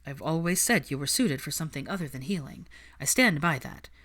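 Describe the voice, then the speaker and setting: Woman as a cool, dry young man, low-voiced. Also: animated, fairly quick, fairly bright tone. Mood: assured. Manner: understated, dry, assured.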